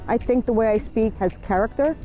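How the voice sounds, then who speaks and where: A woman's voice: fairly high pitch, fairly quick, mellow. A woman from New York City with a New York accent, speaking earnestly in an interview. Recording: music behind the voice.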